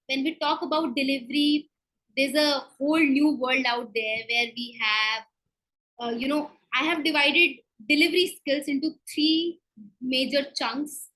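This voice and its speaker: Female coach, high bright voice, emphatic instructional delivery with deliberate pauses. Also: rough recording. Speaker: public speaking coach giving a talk. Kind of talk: instructional presentation to an online group.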